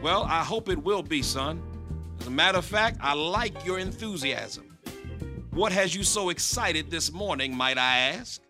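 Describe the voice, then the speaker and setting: A man's voice: a little high, lively intonation, measured pace, music in the background. An actor playing a stern, easily riled middle-aged pastor and father who scolds in an exasperated, blustering way.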